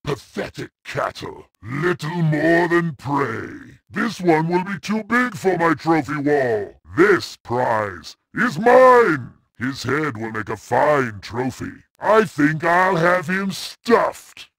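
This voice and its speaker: A man's voice: on the high side, sing-song, takes it slowly, hardly any pauses, no echo, studio quality, heavily acted. An actor voicing a savage, boastful alien brute warrior who taunts and threatens his prey in game combat lines. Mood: menacing. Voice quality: gravelly, growling menace. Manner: taunting barks and shouted threats.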